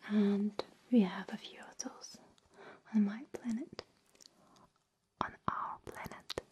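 A woman who is a non-native English speaker, playing a gentle, caring alien visitor in a breathy, soothing whispered ASMR roleplay. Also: medium pitch, fairly even intonation, close to an everyday voice. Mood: reassuring. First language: not English. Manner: slow, soothing, reassuring, with soft giggles. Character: gentle, caring female alien visitor coaxing a human along. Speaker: ASMR creator.

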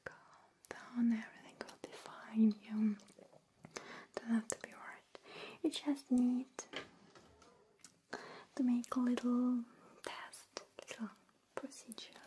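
A woman playing a friendly, caring alien abductor in an ASMR roleplay, speaking in a soft, breathy whisper with slow, soothing, coaxing words aimed closely at the listener. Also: fairly high pitch, steady tone, slight background noise, natural voice. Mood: gentle, caring.

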